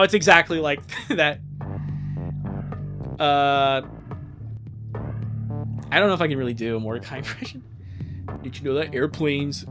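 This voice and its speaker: A man streaming casually, giggly and joking, often breaking into laughter and mild swearing while he reads and comments. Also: fairly high pitch. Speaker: streamer reading a fan comic aloud. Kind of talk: casual live stream chat and joking live reading.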